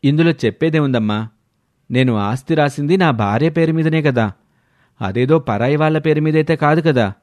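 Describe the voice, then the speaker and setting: A man's voice: a little high, moderate pace, a little mellow, no echo, natural voice. Man playing a firm, earnest husband. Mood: defensive. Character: earnest adult son and husband, calm but firm.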